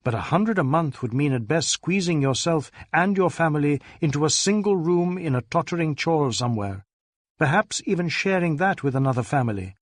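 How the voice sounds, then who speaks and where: A man narrates a detective novel as an audiobook reader. His voice sits a little high for a man. The recording is very dry, with no room around the voice. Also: lively intonation, brisk, dry recording. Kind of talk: scripted literary narration.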